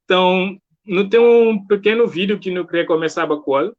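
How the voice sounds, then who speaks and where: A male host with a Cape Verdean accent and a slightly high voice. He talks informally and welcomingly, as in a casual live online interview. The sound is somewhat muffled and lo-fi, like a stream. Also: brisk.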